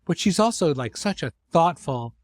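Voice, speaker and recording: A man's voice: on the high side, animated, moderate pace, no room sound. Middle-aged man hosting, dry close sound. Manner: effusive, informal praise. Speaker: host and series creator. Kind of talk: conversational podcast discussion.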